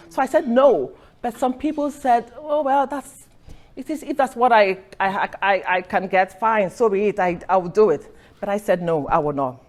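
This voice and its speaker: A middle-aged woman from Nigeria giving an earnest personal talk at an event, telling her story with restarts and light laughs. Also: medium pitch. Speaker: speaker. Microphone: recorded for a podcast.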